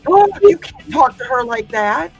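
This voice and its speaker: A woman's voice: sits high, sing-song, moderate pace, dull audio, faint music behind. A woman co-hosting a live craft stream, chatting playfully and reading out chat comments. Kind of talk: casual chat.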